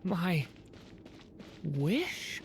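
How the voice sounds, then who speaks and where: A man voicing a lost, frightened young dragon in a game, halting and bewildered. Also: on the high side, sing-song, vocal fry, background noise, exaggerated voice. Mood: frightened, pleading. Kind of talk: dramatic game voice acting.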